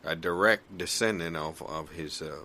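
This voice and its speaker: A man playing a rambling, opinionated country old-timer in improvised comedy, gravelly and folksy, repeating himself as he talks. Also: sits low, moderate pace, noisy background. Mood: indignant, rambling.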